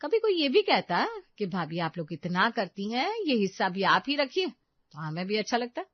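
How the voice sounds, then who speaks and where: A woman's voice: medium pitch, sing-song, moderate pace, dry recording, lo-fi sound. A woman voicing a bossy, resentful young sister-in-law in a story, scolding and emphatic. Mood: bitter.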